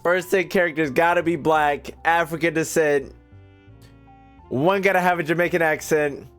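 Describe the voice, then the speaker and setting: A man's voice: on the high side, steady tone, normal speed, fairly bright tone, clear, resonant tone, faint music behind. A young man doing casual, slangy, profane reaction commentary as a gaming streamer, riffing and repeating himself.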